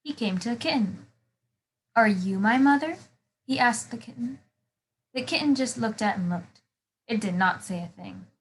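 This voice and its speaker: A woman with a fairly high voice reads a children's storybook aloud as a volunteer. Her phrasing is simple and deliberate. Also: lively intonation, a bit bright, poor audio.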